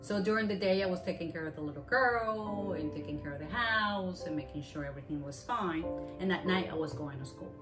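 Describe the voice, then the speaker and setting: A woman's voice: on the low side, animated, fairly quick, few pauses, rough recording. A woman from Venezuela whose first language is Spanish, earnestly telling her migration story in long run-on sentences. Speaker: interviewee. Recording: music playing under the voice.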